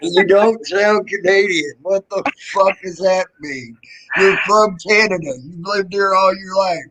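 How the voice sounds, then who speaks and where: Male stream host, slightly high voice, profane, opinionated, rambling delivery. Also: measured pace, pushed and bright, poor audio. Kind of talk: casual livestream chat.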